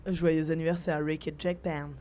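A woman's voice: deep voice, little pitch movement, moderate pace, dark tone, slight background noise. A woman hosting a live radio interview in front of an audience. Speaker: radio host.

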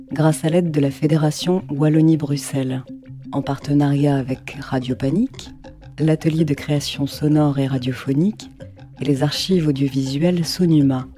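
A woman's voice: sits low, dark tone. A woman reading scripted voice-over narration for a radio documentary. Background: music.

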